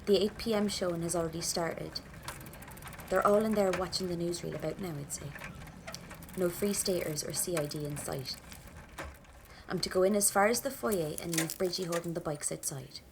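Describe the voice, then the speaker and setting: An actress playing a nervy, grumbling young Irish rebel, thinking aloud in an Irish accent in an audio drama. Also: female voice, fairly low pitch, normal speed, vocal fry. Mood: tense, determined. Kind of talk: audio drama inner monologue. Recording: noisy outdoor-style scene ambience.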